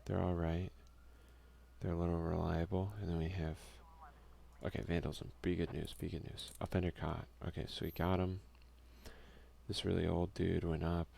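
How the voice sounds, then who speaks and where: A man softly giving casual ASMR let's play commentary, muttering offhand asides and reading game text aloud. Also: low-pitched, flat delivery, normal speed, vocal fry. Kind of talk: ASMR gaming commentary, soft-spoken and casual.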